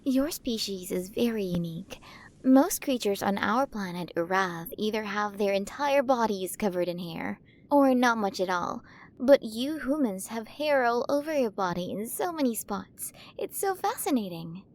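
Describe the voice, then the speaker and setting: A woman's voice: mid-range voice, animated, moderate pace, natural voice. A woman playing a towering alien queen in an ASMR roleplay, cooing to the listener with doting, possessive curiosity about tiny humans. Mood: fascinated, playful. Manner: cooing, fascinated, quaintly mispronouncing human words.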